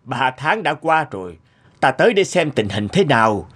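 A man's voice: medium pitch, big pitch swings, moderate pace, a bit bright. A man voicing a stern, stately older noble master in a cartoon folk tale, speaking with authority. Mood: authoritative.

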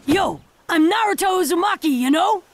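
A woman's voice: extremely high pitch, lively intonation, moderate pace. A woman voicing a brash, earnest teenage ninja boy in an anime video game, with a scratchy, boyish voice. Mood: determined. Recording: music behind the voice. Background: game music.

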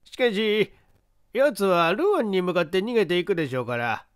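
A man's voice: high voice, big pitch swings, normal speed, clean tone, no room sound, clean studio sound, pitched above the performer's own voice. A man voicing a pompous older police chief in an audiobook, with rustic, old-fashioned official speech. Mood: puzzled. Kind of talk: audiobook character acting.

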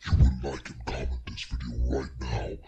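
Extremely deep, husky evil demon. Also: male voice, completely flat delivery, dark tone, dull audio, exaggerated voice. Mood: menacing, commanding. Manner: slow, demanding, menacing. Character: evil menacing demon monster threatening to eat souls. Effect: pitch shifted far down, later with reverb.

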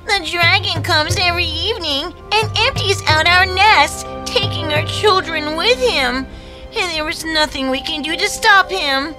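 A helpless mother eagle from a fairy-tale cartoon laments in a very high, sobbing voice. The delivery is drawn out and mournful. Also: unhurried. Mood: tearful, aggrieved. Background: prominent background music.

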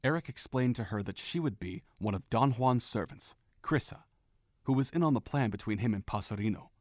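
A man narrating a fan audio drama in a steady storytelling manner, with faint music behind. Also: medium pitch, brisk, no echo, studio quality. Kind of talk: scripted narration.

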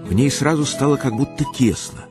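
A man's voice: mid-range voice, a little fast, over music. A man narrating a radio play in a literary, scripted storytelling style.

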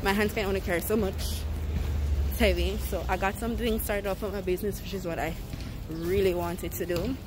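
A woman's voice: mid-range voice, steady tone, a little fast, noisy background. A young adult woman, chatting casually to a handheld phone as a family vlogger. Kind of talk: casual vlog chat. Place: busy street and supermarket aisles. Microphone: handheld phone at arm's length.

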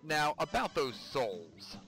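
A man's voice: fairly high pitch, very animated, slow pace, fairly bright tone, faint music behind, pitched above the performer's own voice, heavily acted. A man voicing a gleefully menacing devil who owns a casino, theatrical and taunting, as in a storybook read-aloud. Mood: gloating.

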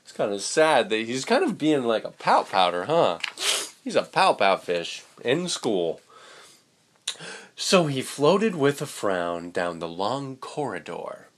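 A young man reads a storybook to children in an expressive, playful way. His pace is unhurried and his intonation rises and falls widely, with casual asides along the way. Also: mid-range voice. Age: young adult. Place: indoors, small room with curtain.

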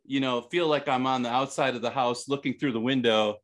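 Easygoing podcast co-host in his thirties, mid-pitched voice, thinks out loud and trails off. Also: steady tone, a little fast. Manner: rambling, searching for words. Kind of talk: casual chat.

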